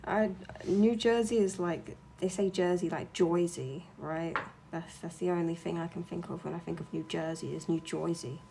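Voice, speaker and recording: A young woman with a low, gravelly voice that stays flat in pitch. She rants bluntly and swears freely while she chats over her drawing on a home stream. A faint hiss sits behind her. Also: moderate pace, few pauses. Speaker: streamer and artist.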